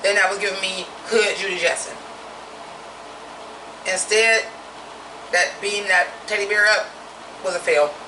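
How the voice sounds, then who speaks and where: A woman with a naturally deep voice giving a blunt, profane, opinionated drag review in a casual vlog. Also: fairly even intonation, unhurried, pauses often, roomy sound, distant mic, noisy background.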